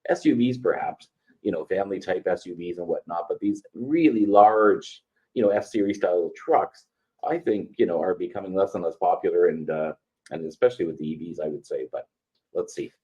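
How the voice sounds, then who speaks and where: Man, low voice, podcast co-host, measured and hedging, lots of fillers, dull lo-fi audio. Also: a little mellow. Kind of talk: casual industry chat.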